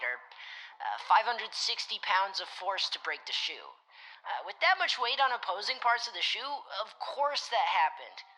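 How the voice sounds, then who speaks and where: A young, analytical whiz in an audio drama. The voice is sharp and bright with a very lively pitch. The delivery is sure of itself, technical and matter-of-fact. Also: female voice, poor audio. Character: confident, analytical young tech whiz who tests evidence. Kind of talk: scripted audio drama dialogue.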